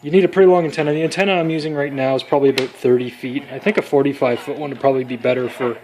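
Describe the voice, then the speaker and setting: A young man giving a casual, unscripted demonstration, pausing as he thinks aloud. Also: medium pitch, steady tone, darkish tone. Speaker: electronics student demonstrating a homemade radio project. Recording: noisy amateur home recording with clicks.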